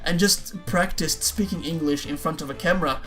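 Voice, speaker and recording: A man's voice: fairly high pitch, moderate pace, sharp tone, faint music behind. A young man whose first language is Dutch, answering viewer questions in a casual, rambling way, often repeating words and saying "man". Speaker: YouTuber.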